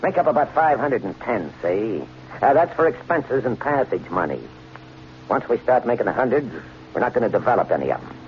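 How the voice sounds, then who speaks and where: A greedy, bossy American crook played by a man in an old radio thriller. His mid-pitched voice is animated, slangy and commanding. The recording is dull and vintage. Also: brisk, a little hiss or hum, close to an everyday voice. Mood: impatient, commanding. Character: brash, bossy, greedy American swindler telling his story. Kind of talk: old-time radio drama acting and narration. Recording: muffled vintage radio, music underneath.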